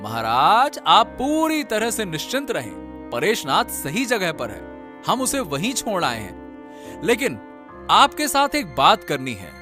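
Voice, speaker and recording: A man's voice: high-pitched, wildly swooping pitch, takes it slowly, bright, forceful tone, exaggerated voice. A man voicing a confident, reassuring court investigator in a cartoon story. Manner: reassuring, urging. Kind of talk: animated Hindi cartoon story acting. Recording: music under the voice.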